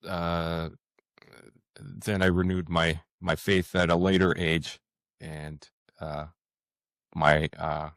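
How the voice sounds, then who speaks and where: A man talking casually as an interview guest, halting often and repeating words as he thinks out loud. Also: deep voice, little pitch movement, creaky, dry recording, studio quality. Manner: hesitant, halting, with frequent pauses and repeated words.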